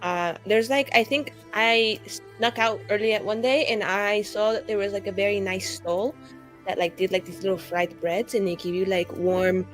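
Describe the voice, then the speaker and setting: A woman's voice: mid-range voice, fairly even intonation, moderate pace, soft background music, natural voice, low-quality recording. A woman voicing an easygoing adventurer in casual, hesitant tabletop roleplay.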